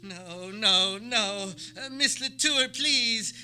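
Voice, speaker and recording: A man playing a flustered, slow-talking fussy scientist. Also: high voice, bright, forceful tone, heavily acted. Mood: flustered, pleading. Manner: hesitant, stammering, formally polite, pleading. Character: flustered, fussy male scientist doctor in a space-time comedy. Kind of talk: old-time radio comedy acting.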